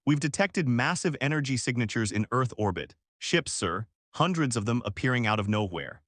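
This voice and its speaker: A man's voice: mid-range voice, lively intonation, moderate pace, fairly bright tone, no room sound, studio quality. A man voicing an anxious, hesitant, deferential junior alien officer in a science fiction story. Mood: hesitant, alarmed.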